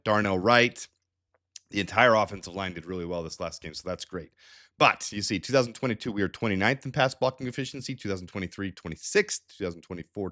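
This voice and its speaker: A man hosting a sports analysis show, talking casually through stats with a slightly nasal, stuffed-up sound from a head cold. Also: medium pitch. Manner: energetic, chatty, with frequent restarts and asides. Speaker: sports channel host.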